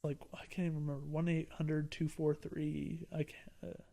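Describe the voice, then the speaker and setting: A man's voice: fairly high pitch, flat delivery, moderate pace, gravelly, mellow. A man guest on a podcast, rambling casually and stumbling over his words. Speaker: guest, streamer.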